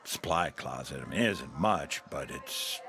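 Kindly helper, gravelly, low, lively pitch, radio drama. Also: male voice, measured pace, soft background music, exaggerated voice.

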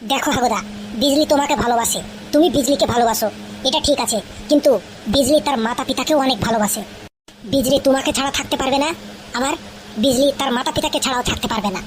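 A woman's voice: high voice, fast, background noise, close to an everyday voice. A woman voicing a crafty, persuasive young sidekick in a comedy cartoon, coaxing and explaining. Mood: reasoning. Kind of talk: exaggerated comedy cartoon dialogue.

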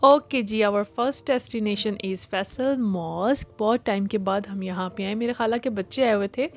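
A woman's voice: mid-range voice, measured pace, faint music behind. Cheerful woman vlogger, mixing in English. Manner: cheerful, mixes English phrases into speech. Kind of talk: casual vlog narration.

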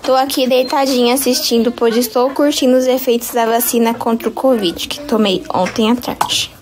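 A woman's voice: on the high side, fairly even intonation, normal speed, darkish tone. A woman with a Brazilian Portuguese accent, reading viewer comments aloud and greeting people during a live stream. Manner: reads comments aloud, laughs a lot. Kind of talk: casual live-stream chat. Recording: noisy sewing room.

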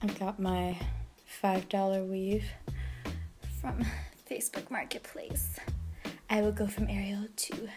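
A woman's voice: medium pitch, fairly even intonation, slow pace, long pauses, far from the mic, music in the background. A young woman from the Philippines talking candidly to camera in a casual vlog, with lots of "like", swearing and laughter. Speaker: vlogger. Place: small bare room, indoors. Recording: echoey room, mic some way off.

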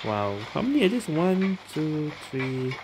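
A woman's voice: deep voice, big pitch swings, a little slow, noisy background. A woman gamer giving casual reaction commentary over gameplay in short, muttered remarks. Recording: voice-over with game music behind.

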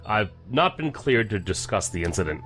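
A guarded, serious federal agent in a science fiction audio drama. His delivery is formal and measured, yet the pitch moves a lot. Faint music plays behind him. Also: very animated, fairly bright tone. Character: guarded, serious male federal agent back from deep cover.

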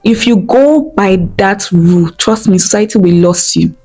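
A woman's voice: medium pitch, lively intonation, moderate pace, dark tone, breathy edge, soft background music. Earnest Igbo-accented young woman, lapel mic. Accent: Nigerian (Igbo). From Nigeria. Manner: earnest, repeats key points, checks in with "okay?". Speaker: vlogger. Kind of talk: motivational talk to camera. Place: indoor room at home.

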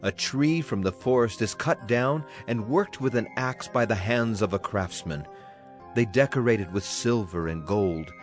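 A man narrating scripture in a measured, solemn dramatized reading. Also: fairly low pitch, faint music behind. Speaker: Bible narrator.

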